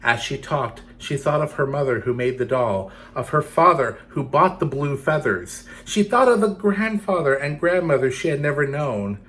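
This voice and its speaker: A man's voice: on the high side, lively intonation, moderate pace, hardly any pauses, fairly bright tone. A man reading a picture book to children, playfully addressing young listeners as he goes. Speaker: storytime reader for children.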